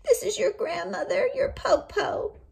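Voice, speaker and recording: Storybook wolf played by a woman: cunning, coaxing, posing as an old granny, pitched high and projected loudly. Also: expressive, unhurried, gravelly, full character voice. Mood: coaxing, sly.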